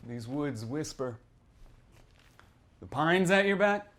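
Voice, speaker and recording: A man's voice: a little high, animated, takes it slowly, a bit bright. A man reading horror fiction aloud as a boozy old small-town storyteller, cagey and conspiratorial, prodding the listener and repeating himself. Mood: ominous, conspiratorial. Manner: theatrical, conspiratorial, repeats phrases, prods listener with "huh" and "hmm". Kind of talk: dramatic first-person horror reading.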